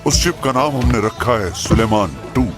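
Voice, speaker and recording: A man's voice: mid-range voice, normal speed, over music, natural voice. A man voicing a menacing, brooding ship-wrecking villain in a cartoon dub. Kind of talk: Hindi cartoon dub acting.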